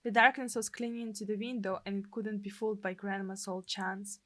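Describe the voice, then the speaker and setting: A woman's voice: medium pitch, flat delivery, fairly quick, fairly bright tone. A woman with a Russian accent, a native Russian speaker, dramatically narrating a visual novel as a streamer. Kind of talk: dramatic narration and live translation of game text.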